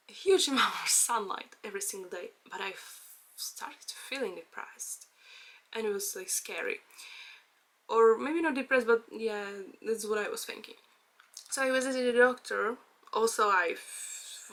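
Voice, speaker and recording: Young czech woman vlogging in english, talks real slow, bright clear voice, lots of uh and like and little laughs. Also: medium pitch. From Czech Republic. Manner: hesitant, with frequent fillers and nervous laughs. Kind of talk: casual personal chat.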